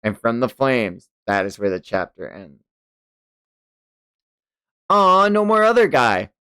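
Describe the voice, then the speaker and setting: A man's voice: medium pitch, big pitch swings, slow, sharp tone, smooth, no room sound. Enthusiastic man, dry close sound. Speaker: host reading a manga aloud on livestream. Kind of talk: animated read-along narration and casual fan commentary.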